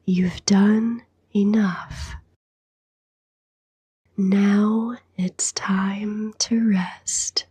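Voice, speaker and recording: Very slow, breathy whispering woman. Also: mid-range voice, fairly even intonation, dry recording. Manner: hushed, soothing, unhurried with long pauses. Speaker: guided sleep meditation narrator. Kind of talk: whispered, scripted sleep meditation narration.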